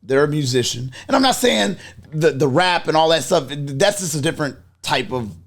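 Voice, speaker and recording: A man's voice: a little high, expressive, normal speed. A man in his early forties in a casual group chat, talking in a slangy, nostalgic way with some swearing. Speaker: podcast panelist.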